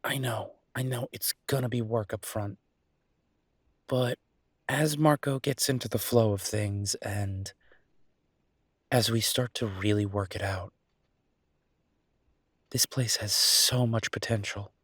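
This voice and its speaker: A man playing an earnest, down-to-earth chef boyfriend in an audio roleplay, talking casually and a little hesitantly in a husky voice. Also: fairly low pitch, steady tone, takes it slowly, long pauses, a bit bright, dry recording, clean studio sound, full character voice. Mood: reassuring, excited. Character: enthusiastic down-to-earth chef boyfriend opening a mountain restaurant. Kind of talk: audio roleplay acting, casual one-sided conversation.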